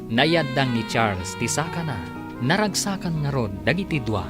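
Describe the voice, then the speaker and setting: A man's voice: mid-range voice, lively intonation, moderate pace. A man narrating a scripted radio drama as its announcer, in a dramatic, declamatory manner. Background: music.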